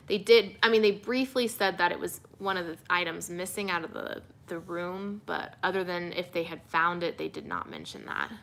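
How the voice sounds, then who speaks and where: A young woman TV reporter, relaxed and soothing, answering viewer questions in a casual livestream with many fillers. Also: on the low side. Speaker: TV news reporter.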